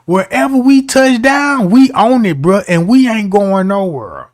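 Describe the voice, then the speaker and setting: A man's voice: sits high, lively intonation, measured pace, no echo, studio quality. A man giving casual commentary as a streamer, talking in a slangy, argumentative way.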